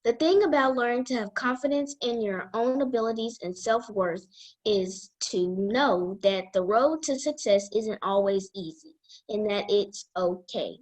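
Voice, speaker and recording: A woman guest reader reading a children's book aloud in a deliberate way. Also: fairly high pitch, low-quality recording.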